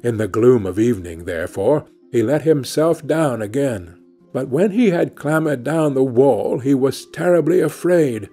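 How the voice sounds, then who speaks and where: Male audiobook storyteller for fairy tales: deliberate, very dark and husky tone, measured scripted narration. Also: mid-range voice, lively intonation. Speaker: audiobook reader. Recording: music under the voice.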